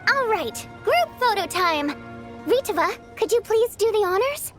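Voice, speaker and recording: A spirited young stage performer in a video game. Her voice is high and very animated, with a theatrical, teasing, affectionately commanding manner. Soft music sits behind it. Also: unhurried, heavily acted. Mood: breezy.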